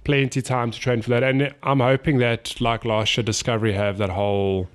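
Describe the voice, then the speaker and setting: A man's voice: medium pitch, normal speed, smooth. A young man with a congested voice, chatting and teasing a friend about running. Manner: teasing, laughs often, animated retelling. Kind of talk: casual chat between friends.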